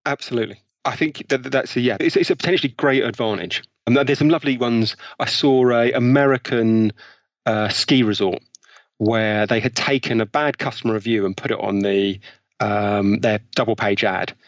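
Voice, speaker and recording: A man's voice: medium pitch, moderate pace, no room sound. A British man speaking as an interview guest, explaining ideas conversationally with examples. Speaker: interview guest, author on behavioral science. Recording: slightly dull, lo-fi sound.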